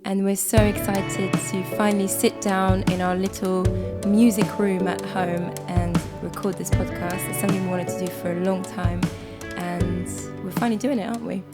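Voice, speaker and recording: Swiss woman, a bit croaky. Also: mid-range voice, flat delivery, moderate pace, over loud music. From Switzerland. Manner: chatty, warm, laughs often. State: hoarse from a cold. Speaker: podcast co-host. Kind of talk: casual chat. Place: small music room at home.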